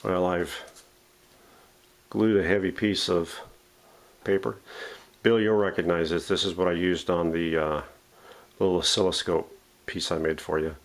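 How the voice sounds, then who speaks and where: A man's voice: deep voice, steady tone, a little slow, long pauses. A man hosting a casual, unscripted workshop demonstration, talking through each step as he handles the parts. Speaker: host of a vintage radio restoration channel. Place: home workshop.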